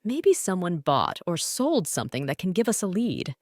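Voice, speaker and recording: A woman's voice: on the low side, big pitch swings, moderate pace, dry recording, clean studio sound. A woman voicing an earnest, brave male detective car in a children's storybook. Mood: serious.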